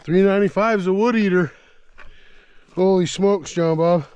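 A man's voice: high-pitched, moderate pace, a little mellow, clean tone, lo-fi sound. A middle-aged man vlogging outdoors in a snowy forest, talking casually about his chainsaw and often checking in with the viewer. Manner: folksy, repeats himself, asks the viewer "right?". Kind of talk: casual outdoor show-and-tell. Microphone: helmet-mounted action camera.

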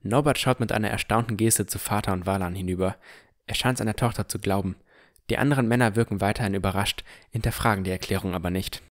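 A man's voice: lowish voice, quick pace. A man narrating a fantasy audio drama in the first person from a script.

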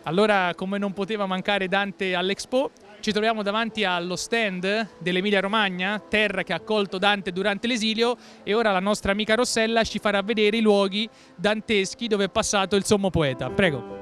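A man's voice: high-pitched, fairly even intonation, brisk, fairly bright tone, faint music behind. A man in his thirties presenting at an exhibition stand with a handheld microphone, effusive and full of lofty praise. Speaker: costumed presenter. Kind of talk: enthusiastic event reporting.

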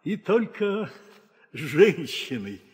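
A man's voice: on the high side, very animated, drawn-out words, heavily acted. Solemn aging king, widely swooping voice. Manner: solemn, declamatory. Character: aging, weary, authoritative Indian epic king and father. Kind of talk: theatrical audio play acting. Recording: reverberant 1960 audio play record.

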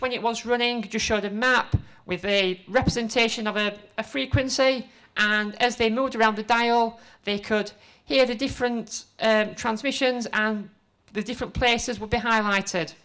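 A middle-aged woman giving an informal conference presentation into a handheld microphone, conversational, halting and self-deprecating. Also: a little high, a bit bright. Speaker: conference speaker, museum exhibit developer. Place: indoor presentation room before a seated audience.

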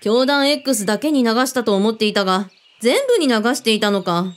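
Casting: covert team's commanding boss played by a woman, fairly high voice, brusque, casual, audio drama. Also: sing-song, moderate pace. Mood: probing, wry. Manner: casual, blunt masculine phrasing, probing.

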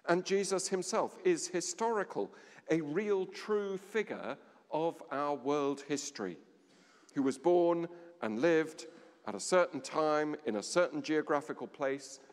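Unhurried preacher in a reverberant church. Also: male voice, a little high, lively intonation. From England. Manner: measured preaching with dramatic pauses and a dropping voice. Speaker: vicar. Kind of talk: sermon. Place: large stone church interior. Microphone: standing at a lectern, far camera.

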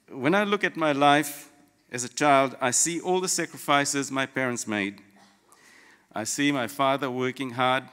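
A middle-aged man from Fiji giving a formal, prepared graduation address in measured, deliberate phrases with pauses, at a podium microphone in a large auditorium. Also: mid-range voice, fairly bright tone. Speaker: graduation guest speaker, lawyer. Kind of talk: prepared formal ceremony address, read from notes. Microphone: gooseneck podium microphone. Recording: long hall reverb.

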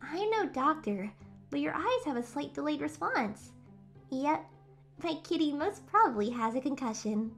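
A woman voicing a feisty, affectionate, excitable teenage superheroine in a fan comic dub, with expressive delivery. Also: high voice, moderate pace, soft background music. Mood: caring. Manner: expressive, exclamatory.

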